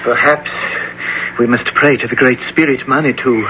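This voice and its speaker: An actor playing a noble, resolute young guide who speaks in formal, stilted phrases in an old-time radio drama. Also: male voice, mid-range voice, steady tone, moderate pace, close to an everyday voice. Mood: grave. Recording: muffled vintage radio, clear noise.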